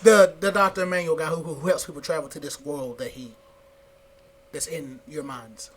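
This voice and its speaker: A man in casual conversation, animated, stumbling over restarts as he explains. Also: a little high, a little hiss or hum. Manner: animated, halting restarts, laughs often. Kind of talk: casual chat.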